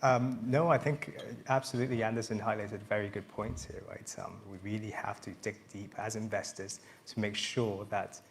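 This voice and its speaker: Panel investor, man, low-pitched, halting with lots of ums, slight hiss. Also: steady tone. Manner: hesitant, many fillers. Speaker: investment firm founder on a panel. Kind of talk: panel discussion.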